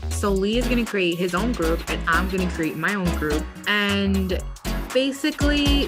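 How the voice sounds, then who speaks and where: A woman co-hosting a podcast, chatting in an easygoing, conversational way. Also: medium pitch. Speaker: podcast co-host. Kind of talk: casual conversational podcast chat.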